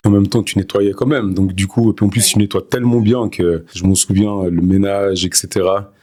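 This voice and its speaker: Low-pitched male podcast interviewer. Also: steady tone, brisk, mellow. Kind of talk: casual conversational interview.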